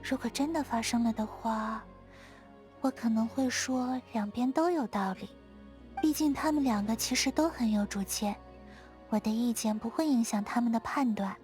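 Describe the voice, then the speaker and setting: A woman playing a quiet, aloof, dreamy young moon maiden in a video game, talking in a gentle, detached, unhurried manner. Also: on the high side, close to an everyday voice. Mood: calm, detached. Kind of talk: scripted video game character dialogue. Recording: studio voice over background music.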